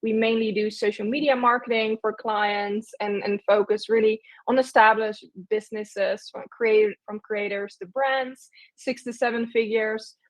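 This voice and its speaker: A woman's voice: a little high, little pitch movement, unhurried, hardly any pauses, poor audio. A young woman from the Netherlands, chatting casually as an interview guest, with lots of fillers and restarts. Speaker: guest, agency owner.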